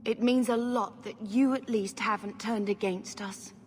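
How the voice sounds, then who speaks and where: A woman's voice: on the high side, steady tone, unhurried, darkish tone, close to an everyday voice. A woman playing a devout, resolute younger sister in a video game, speaking earnestly and reflectively. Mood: earnest, wistful. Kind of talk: dramatic video game cutscene acting. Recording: long reverb, faint ambient noise.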